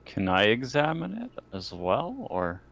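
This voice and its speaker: Low gravelly male voice, animated intonation, casual tabletop game chat. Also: unhurried, slight background noise.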